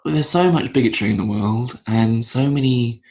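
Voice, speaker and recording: Guest, male-sounding voice, gravelly, mid-pitched, earnest, halting. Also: a little mellow. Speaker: podcast guest. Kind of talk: casual interview talk.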